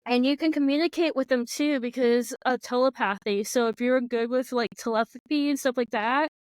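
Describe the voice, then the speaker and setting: A woman's voice: high voice, normal speed, smooth, dry recording. A woman from Florida with a Floridian American accent, hosting a casual podcast chat and filling her talk with "like" and "yeah.". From Florida, United States. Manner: chatty, lots of "like" and "yeah". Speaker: podcast host. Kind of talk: casual conversation.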